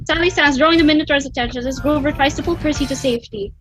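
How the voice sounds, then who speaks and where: A woman's voice: high voice, fast, a bit bright, soft background music. A woman reading stage directions as a narrator, in scripted dramatic narration.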